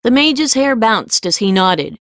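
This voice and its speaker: A woman reading scripted fiction as an audiobook narrator, with measured storytelling delivery. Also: on the high side, very animated, a bit bright, no room sound, clean studio sound.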